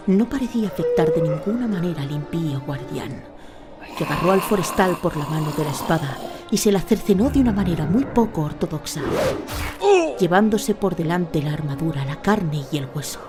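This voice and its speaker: A woman narrating a scripted audio drama in a measured, dramatic storytelling manner. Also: low-pitched, lively intonation, music in the background.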